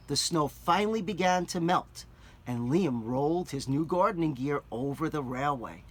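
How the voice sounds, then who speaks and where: A man reading a picture book aloud to children, gently stopping to explain words and show things. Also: high voice. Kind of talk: children's read-aloud with asides.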